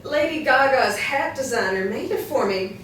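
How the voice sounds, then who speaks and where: A woman's voice: sits high, animated, moderate pace, fairly bright tone. A woman playing a vain, hot-tempered rich hostess, emphatic and indignant, in a stage play reading. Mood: boastful. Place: small indoor room, seated with scripts. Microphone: distant camera. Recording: echoey room, distant mic, faint noise.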